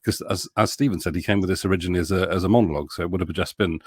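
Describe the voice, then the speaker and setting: A man chatting casually as an interview guest, talking in a halting, rambling way and often interrupting himself. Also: deep voice, husky, no echo, clean studio sound. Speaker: audio drama producer, interview guest.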